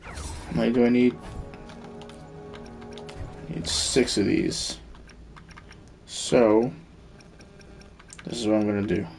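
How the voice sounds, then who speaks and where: A man's voice: lowish voice, fairly even intonation, takes it slowly, gravelly, pauses often, a little mellow, faint music behind. A man streaming a video game, giving casual commentary and muttering to himself as he thinks aloud. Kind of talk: casual live gameplay commentary.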